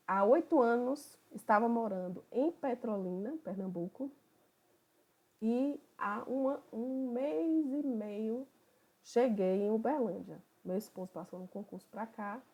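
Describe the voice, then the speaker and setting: A woman from Bahia, a psychoanalyst who opens an online study group in a relaxed, conversational way. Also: medium pitch, slow. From Bahia, Brazil. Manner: relaxed, conversational, thinking aloud.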